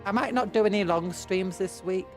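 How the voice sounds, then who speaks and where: A woman's voice: medium pitch, normal speed, darkish tone, faint music behind. A British woman streamer with a cold, blocked nose and sore throat, rambling in casual chat with her viewers. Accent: British English. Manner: rambling, chatty, reads and answers chat.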